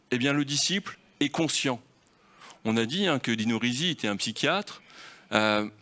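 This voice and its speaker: A man's voice: medium pitch, fairly bright tone. A man leading a festival round table on film, talking freely and explaining through rhetorical questions.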